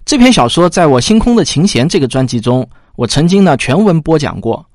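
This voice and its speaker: A man's voice: fairly high pitch, big pitch swings, fairly quick, darkish tone, no echo. A man speaks as a podcast host in a casual, conversational way. His intonation moves around a lot, with a modest, self-mocking manner. The sound is dry and close. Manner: self-deprecating. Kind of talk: casual spoken introduction.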